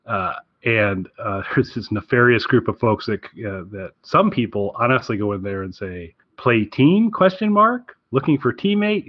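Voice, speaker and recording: A man's voice: on the low side, dry recording, muffled recording. A man chatting casually and enthusiastically as a podcast co-host, rambling through a game recommendation.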